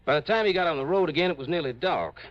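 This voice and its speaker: An American actor playing an earnest insurance manager who explains things haltingly in a 1950s radio drama. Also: male voice, a little high, animated, lightly acted. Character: earnest male insurance office manager briefing an investigator. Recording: dull old radio recording.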